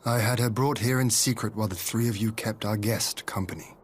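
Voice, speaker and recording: An actor playing a stern, sorrowful noble lord in a video game, speaking gravely and deliberately in formal language. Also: male voice, on the low side, fairly even intonation, a little hiss or hum, natural voice. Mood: stern, regretful. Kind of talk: video game cutscene acting.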